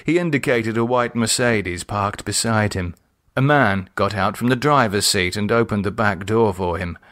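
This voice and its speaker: A man reading his own horror novel as a scripted audiobook, with dramatic, deliberate storytelling. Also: fairly low pitch, fairly bright tone.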